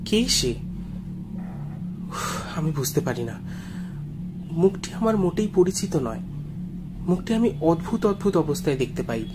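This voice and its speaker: A man's voice: fairly high pitch, low-quality recording. Man narrating suspense over music. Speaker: story narrator. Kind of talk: dramatic first-person audio story narration. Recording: music behind the voice.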